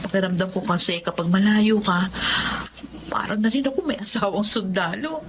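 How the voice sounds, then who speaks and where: Woman as loving, worrying Filipino young wife, medium pitch, radio drama, noisy background. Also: moderate pace, natural voice. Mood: worried. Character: loving, anxious young wife and mother of seafarer. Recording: noisy recording with background ambience.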